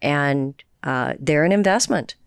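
A woman's voice: low-pitched, expressive, a little slow, smooth, no room sound, studio quality. A woman from the Houston area co-hosting a casual chat, teasing her guest with playful questions. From Houston area, Texas. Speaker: co-host. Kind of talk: casual podcast conversation.

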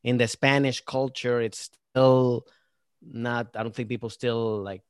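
A man who is a stand-up comedian, chatting casually in an interview, talking in quick bursts with many fillers and restarts. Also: mid-range voice, fairly even intonation, clean tone, no echo, clean studio sound. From Maryland, United States.

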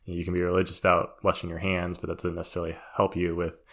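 A man's voice: low-pitched, completely flat delivery, racing, fairly bright tone. A man co-hosting a podcast, reasoning aloud thoughtfully in casual chat. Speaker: podcast co-host.

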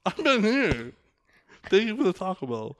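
A man's voice: high voice, huge pitch swings, moderate pace, no room sound, clean studio sound. A man playing a hungry suspect under interrogation who stammers and dodges questions. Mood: defensive. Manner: hesitant, stammering, defensive. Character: hungry, evasive young male suspect.

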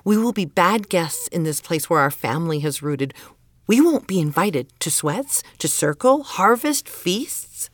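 A woman's voice: fairly low pitch, expressive, measured pace, no room sound, studio quality. A woman playing a stern, exasperated middle-aged mother who holds to tradition, in a naturalistic audio drama, insistent and scolding. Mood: angry, scolding. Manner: insistent, guilt-laden pleading and scolding.

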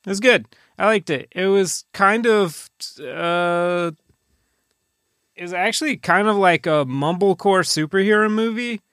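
A man co-hosting a podcast, chatting casually about movies in a hesitant, deadpan way. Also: high voice, measured pace, clean tone, no echo, clean studio sound. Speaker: podcast co-host.

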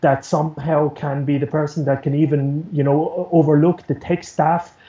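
A man's voice: on the high side, flat delivery, normal speed, warm tone. Roomy, muffled Irish man in interview. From Ireland. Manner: rambling, repeats words as he goes. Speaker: interview guest, news agency producer. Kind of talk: casual interview talk.

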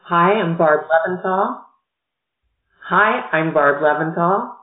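A woman educational consultant explaining college admissions in a measured, explanatory way during a podcast interview. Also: on the low side. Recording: echoey, tinny phone-like line.

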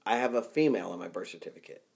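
A man's voice: low-pitched, lively intonation, rapid-fire, vocal fry, a little mellow. A man co-hosting a podcast in casual chat, talking bluntly and profanely and getting worked up as he goes. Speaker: podcast co-host.

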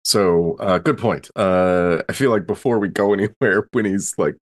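A man's voice: deep voice, moderate pace. A man thinking aloud in casual conversation as a player in a tabletop story game.

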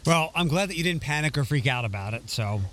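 A man's voice: a little high, expressive, quick pace, a bit bright. A man chatting casually, teasing with dry jokes. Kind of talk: casual chat.

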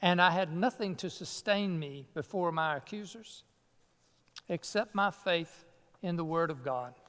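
A man's voice: on the high side, a little slow, a bit bright, clean tone, long reverb tail. A man pastor playing the reformer Martin Luther in a sermon monologue, folksy and self-deprecating, with a Southern US accent. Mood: earnest. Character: Martin Luther, old German monk reformer, earnest. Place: church sanctuary.

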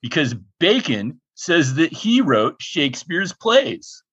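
Deep-voiced man on a dull mic. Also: very animated, slow, a bit bright, breathy edge. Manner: rambling, stumbling pitch delivery. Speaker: screenwriter in a comedy scene. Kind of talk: improvised comedy scene.

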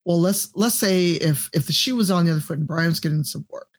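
A woman with a deep voice, a podcast guest and streamer giving blunt, profane advice in casual chat. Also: fast talker, a little mellow.

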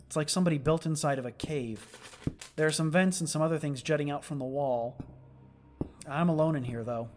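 A man's voice: a little high, fairly quick, lightly acted. A man playing a sardonic, irritable starship lieutenant stranded after a disaster, muttering in exasperation in a science fiction audio drama. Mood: tense, cautious. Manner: exasperated, muttering, hesitant asides. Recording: clearly noisy track with clicks.

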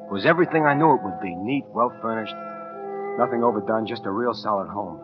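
A man's voice: fairly low pitch, fast, natural voice. An actor in a 1940s radio crime drama playing a cold, self-justifying American killer, brooding as he narrates and clipped when he talks. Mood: measured, uneasy. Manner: brooding first-person narration with hesitant, clipped dialogue. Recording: muffled 1940s radio broadcast, faint music.

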